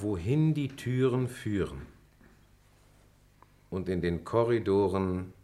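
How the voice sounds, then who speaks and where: An actor playing a middle-aged lawyer and former pupil, composed, formal and dryly witty, in a German radio play. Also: male voice, on the low side. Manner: formal, measured, dry. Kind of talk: German radio play acting, measured recitation.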